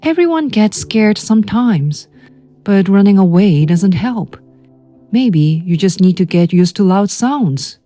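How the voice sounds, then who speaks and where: A woman plays a little storybook rabbit, a kind and encouraging friend. The delivery is gentle and coaxing, with lively swoops in pitch at an unhurried pace. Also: no echo, soft background music.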